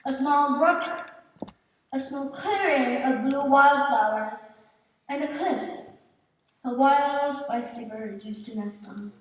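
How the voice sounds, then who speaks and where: Woman as a solemn play narrator, slow, slightly high voice, echoey hall from far away. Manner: measured, solemn, theatrical. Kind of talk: scripted dramatic stage narration. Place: large indoor theater stage. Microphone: distant camera. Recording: echoey theater stage from far off.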